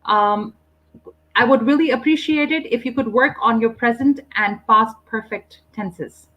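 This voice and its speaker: A woman who grew up in the UAE, hosting an online English conversation group and gently giving grammar advice over a video call. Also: fairly high pitch, a little slow, dull audio. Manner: polite, encouraging teacherly corrections.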